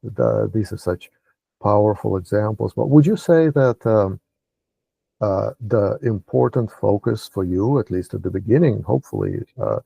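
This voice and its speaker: A man's voice: on the low side, moderate pace, gravelly, mellow, slightly rough, dry recording. A man from Russia hosting an interview, asking long questions full of hesitant fillers. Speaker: interviewer, podcast host. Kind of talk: casual interview conversation.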